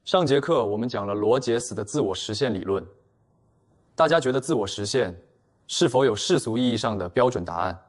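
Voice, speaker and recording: A man's voice: medium pitch, animated, fairly quick, natural voice. A man acting out dialogue in an audio drama.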